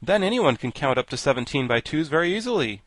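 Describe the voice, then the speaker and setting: A man's voice: a little high, very animated, fast talker, fairly bright tone, dry recording, voice pushed up. A man acts a living wooden sawhorse in a storybook. It speaks abruptly in a raised voice. The manner is plain and matter-of-fact. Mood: abrupt, practical. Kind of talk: storybook character voice.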